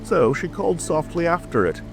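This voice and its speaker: A man's voice: on the high side, big pitch swings, moderate pace, gravelly. A man narrating a scripted storybook as a dramatic audiobook reading. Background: music, rain ambience.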